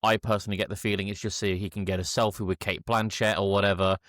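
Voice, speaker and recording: A man's voice: fairly low pitch, flat delivery, fast talker, a bit bright, dry recording, studio quality. A British man chatting casually as a podcast co-host, wry and blunt, hedging as he goes. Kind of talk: casual chat.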